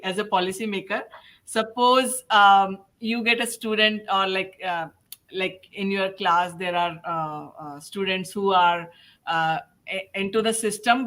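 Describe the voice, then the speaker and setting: A woman hosting a panel discussion as a podcast host, putting questions to guests in halting, hesitant phrases. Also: medium pitch, a bit bright.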